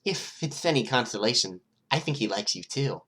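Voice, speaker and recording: Casting: playful young villain girl played by a man, lifted pitch, chatty, teasing, excitable, audiobook character. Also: normal speed, slightly rough.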